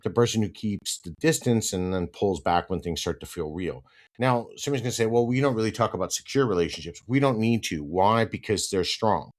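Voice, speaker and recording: A man's voice: on the low side, expressive, fairly quick. Congested, nasal man hosting a podcast. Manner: conversational, repeats "right?" often, turns firm and emphatic when ranting. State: congested with hay fever. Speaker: podcast host. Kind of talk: solo podcast monologue.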